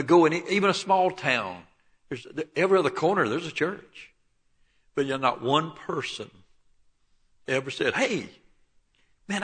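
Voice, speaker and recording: A man in his seventies with a Southern Alabama accent, preaching and telling his life story in a folksy way, often clearing his throat. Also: mid-range voice, pushed and bright. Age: 70s. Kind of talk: sermon with personal testimony. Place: church.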